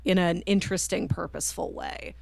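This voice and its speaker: A woman's voice: fairly low pitch, steady tone, brisk, creaky, a little mellow, no room sound. A woman co-hosting a casual book discussion, giving her opinions in a reflective, conversational way. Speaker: podcast co-host.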